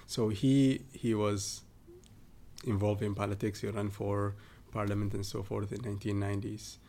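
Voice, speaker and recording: Gravelly low-voiced man, halting. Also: level tone, mellow. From southern Ethiopia. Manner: hesitant, many fillers and restarts. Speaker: guest. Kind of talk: casual interview talk.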